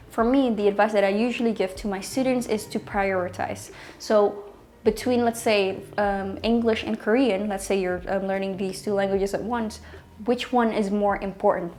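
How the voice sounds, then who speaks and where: Young woman, mid-pitched, conversational vlogger, lots of ums. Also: a little mellow. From Indonesia. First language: Indonesian. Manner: chatty, many ums and restarts. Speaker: language teacher and vlogger. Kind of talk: casual advice vlog. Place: small bedroom indoors.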